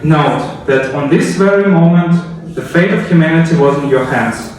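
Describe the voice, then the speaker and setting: A man with a fairly high voice speaks publicly in a storytelling style. He addresses listeners directly, drawing them into the scene with rhetorical questions. The sound is heavily reverberant and picked up some way from the microphone. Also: moderate pace, a little mellow. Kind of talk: storytelling speech with dramatic second-person narration. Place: echoey indoor room, recorded from a distance. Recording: very echoey room, distant microphone.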